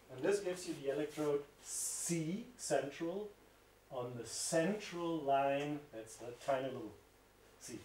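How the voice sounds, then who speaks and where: A man's voice: a little high, darkish tone, slight background noise. A middle-aged man with a German accent giving a university lecture, explaining slides and pointing as he talks. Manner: explanatory, pointing at slides, gestures while speaking. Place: seminar room with projector. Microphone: distant room mic. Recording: echoey room, speaker far from mic.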